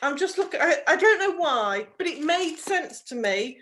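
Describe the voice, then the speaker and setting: A woman's voice: sits high, big pitch swings, moderate pace, a bit bright. A woman chatting as a podcast co-host, earnest and giggly, rambling through her explanations. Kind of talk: casual chat.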